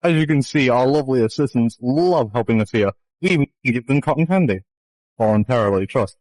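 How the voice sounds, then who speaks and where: A man's voice: mid-range voice, animated, fairly quick, darkish tone, poor audio. A man improvising a comedy puppet show in mock showman patter, rambling and swearing crudely. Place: online VR chat session.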